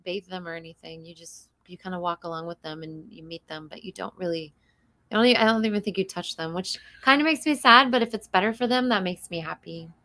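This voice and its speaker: A woman, hoarse and tired, chatting casually as a guest, often trailing off mid-sentence. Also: on the low side, quick pace, pushed and bright. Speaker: guest, host's new wife. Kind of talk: casual chat.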